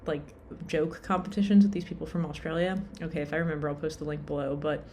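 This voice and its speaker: Tired young woman vlogger, low pitch, chatty and meandering, self-deprecating. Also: fairly even intonation, fast, mellow, a little hiss or hum. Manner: rambling, lots of "like" and "um", self-deprecating. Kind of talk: casual rambling vlog.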